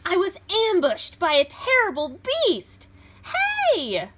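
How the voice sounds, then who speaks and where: A woman's voice: very high voice, huge pitch swings, slow, heavy background noise, voice pushed up, full character voice. A woman reading as an indignant, put-upon little male woodland beast, telling his storybook tale with dramatic grievance. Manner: dramatic, aggrieved storybook narration.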